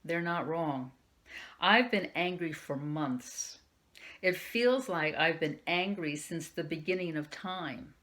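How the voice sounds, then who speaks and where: Slow, bright-voiced woman reading aloud. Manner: first-person reading with raised pitch for quoted dialogue. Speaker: youth services librarian. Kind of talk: reading a young adult novel aloud.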